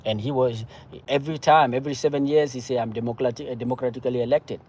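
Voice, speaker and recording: A man from Cameroon with a Cameroonian accent, speaking off the cuff about politics in a halting, repetitive, emphatic way. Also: mid-range voice, fairly even intonation, darkish tone, husky. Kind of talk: impassioned political discussion, casual and unscripted.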